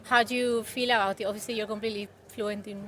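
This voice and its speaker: A woman's voice: medium pitch, steady tone, fast, sharp tone, a little hiss or hum. A woman publisher asking friendly, chatty questions in a casual outdoor interview. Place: outdoors outside a bookshop.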